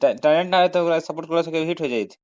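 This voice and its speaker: A man's voice: a little high, fast, a little mellow, no room sound. A man with a Bhojpuri accent arguing on the phone, insistently repeating his demands. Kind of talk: phone argument. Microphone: phone call. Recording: dull lo-fi phone call recording.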